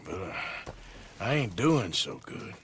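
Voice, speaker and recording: An actor playing an ailing, regretful outlaw gunman in a game cutscene, with a gravelly American Western drawl and halting, plain speech. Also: deep voice, big pitch swings, a bit bright, slight background noise, heavily acted. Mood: weary, regretful. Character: weary, ailing male outlaw gunman, gruff but regretful. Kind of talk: video game cutscene acting.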